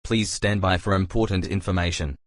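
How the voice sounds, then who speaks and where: A man playing a solemn emergency alert announcer, reading scripted warnings in a formal, measured way. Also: low voice, fairly even intonation, no echo, close to an everyday voice. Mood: grave, urgent.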